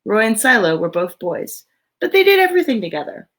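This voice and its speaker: A woman reading a picture book aloud to children in an expressive storytelling way, with casual, chatty asides. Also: medium pitch, brisk.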